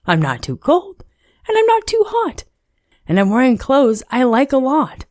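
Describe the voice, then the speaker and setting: A woman's voice: sits high, wildly swooping pitch, normal speed, a little mellow, no echo, clean studio sound, voice pushed up. A woman voicing a lovable, cheerful, dramatic snowman who is forever cold, in a children's storybook read-aloud. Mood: pleased.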